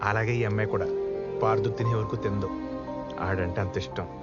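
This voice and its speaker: An actor playing a chatty, teasing grandson in a film drama, talking casually. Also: male voice, lowish voice, fairly even intonation, brisk, lightly acted. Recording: dull film audio under loud music.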